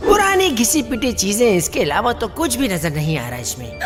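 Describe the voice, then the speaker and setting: Cartoon young male sidekick played by a woman: comic grumbler, high, lively pitch swings. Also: moderate pace, loud music behind the voice. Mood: complaining. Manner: comic, complaining. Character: grumbling, cheeky young male treasure seeker. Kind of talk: animated cartoon dub acting.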